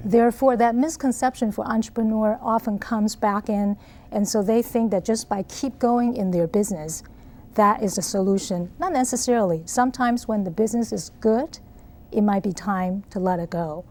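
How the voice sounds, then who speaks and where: A woman's voice: medium pitch, normal speed, a little mellow. A middle-aged woman from Taiwan with a Taiwanese accent, earnestly explaining her ideas as an interview guest. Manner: earnest, explanatory, frequent laughs. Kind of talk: interview answers.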